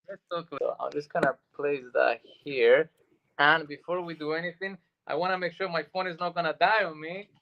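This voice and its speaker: A man's voice: a little high, fairly even intonation, moderate pace, creaky, highs cut off. A man from Argentina, a native Spanish speaker, telling stories casually as an interview guest. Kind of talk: casual chat, storytelling.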